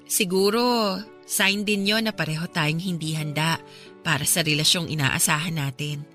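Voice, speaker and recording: A woman's voice: low-pitched, steady tone, normal speed, bright, forceful tone. Tender young Filipino ex-girlfriend, music-backed. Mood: wistful. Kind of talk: Filipino radio romance drama acting.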